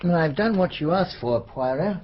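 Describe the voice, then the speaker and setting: An actor playing a dry, cautious, helpful older man in a radio mystery, measured and understated. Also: fairly high pitch, animated, slight background noise, natural voice. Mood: matter-of-fact. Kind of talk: radio mystery drama acting. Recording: muffled radio drama recording.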